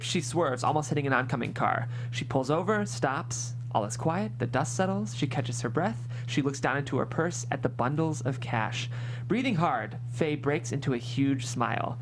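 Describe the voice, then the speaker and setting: A man reading out stage directions as scripted narration at a table read. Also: medium pitch. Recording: noisy room with hum.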